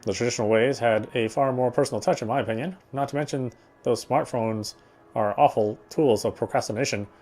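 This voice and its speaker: A man's voice: mid-range voice, brisk, darkish tone. A man reading visual novel narration aloud as he plays. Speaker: let's player reading a game aloud.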